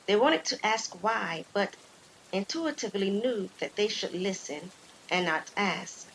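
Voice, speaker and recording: Slow-paced woman storyteller. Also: medium pitch, expressive, a bit bright, a little hiss or hum, poor audio. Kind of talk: scripted story narration.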